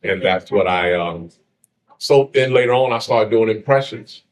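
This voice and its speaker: A man from the eastern shore of Maryland with a deep voice, a comedian telling jokes and stories in a casual interview. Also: normal speed. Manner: joking, laid-back storytelling.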